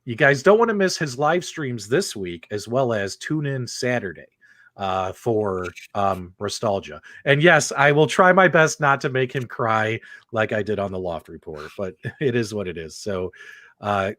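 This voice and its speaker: A man's voice: fairly low pitch, animated, measured pace, fairly bright tone, no room sound. An enthusiastic man hosts a casual livestream chat. He repeats phrases for emphasis, and his voice sounds dry and close, with very little room around it. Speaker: host of a video game talk show.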